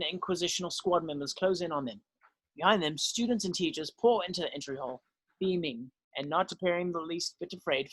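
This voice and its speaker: A man reads scene headings and stage directions as narrator of an amateur table read. His voice is a little high, and the sound is dry with no room echo. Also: husky.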